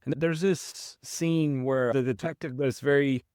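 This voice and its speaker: A man speaking as an interview guest in a casual conversation, reflective and halting, often restarting his sentences. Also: mid-range voice, fairly even intonation, a little mellow, clean tone, no echo, clean studio sound. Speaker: guest, founder of a literature platform.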